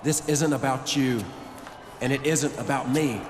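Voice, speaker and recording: An actor playing a solemn, earnest wrestler delivering dramatic audition lines in a video game. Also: male voice, medium pitch, measured pace, lightly acted. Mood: solemn, theatrical. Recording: echoey reverberant room with noise.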